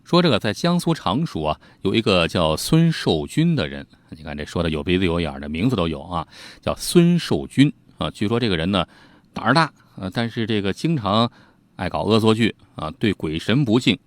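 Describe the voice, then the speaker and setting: Animated low-voiced male storyteller. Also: fairly quick, no echo. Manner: animated, colloquial, teasing asides to listeners. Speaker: storyteller, host. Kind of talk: informal storytelling narration of old ghost tales.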